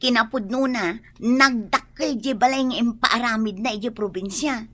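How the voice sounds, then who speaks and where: A husky, chatty, gushing friend. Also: female voice, a little high, normal speed, a bit bright. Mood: excited, admiring. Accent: Ilocano. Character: chatty younger woman gushing about her friend. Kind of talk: Ilocano radio drama acting.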